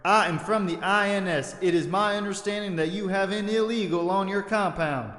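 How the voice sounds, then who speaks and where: A man acts out a cold, officious immigration agent in a comedy audio drama, heard in a reverberant space. His high voice is clipped and bureaucratic, stiffly formal. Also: moderate pace, a bit bright. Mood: officious, curt. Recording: echoey, reverberant hall.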